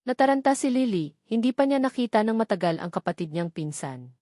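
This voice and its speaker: A woman's voice: fairly high pitch, lively intonation, fairly quick, warm tone, dry recording, studio quality. A woman reading a scripted novel aloud as an audiobook narrator.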